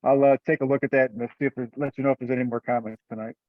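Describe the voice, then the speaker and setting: A man's voice: medium pitch, level tone, rapid-fire, no room sound. Man chairing a call, dull audio. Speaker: meeting chair. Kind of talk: conversational teleconference talk. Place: remote group conference call.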